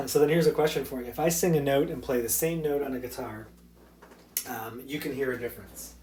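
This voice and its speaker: A man teaching a physics lesson in a recorded slide talk. He keeps it loose and chatty, full of ums and small restarts. His voice sits at an ordinary middle pitch. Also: a little fast, background noise. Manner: casual, loose explaining with many fillers. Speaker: high school physics teacher.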